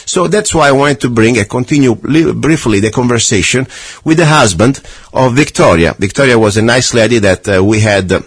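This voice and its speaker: A man's voice: medium pitch, brisk, husky, no echo. A man hosting a radio talk show, chatting casually with many restarts and fillers.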